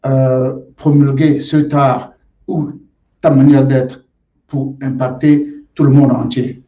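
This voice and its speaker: Male guest from Gabon, deliberate and slow, deep warm tone, roomy muffled recording. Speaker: guest, martial arts champion. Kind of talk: interview answers. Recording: roomy, dull lo-fi sound.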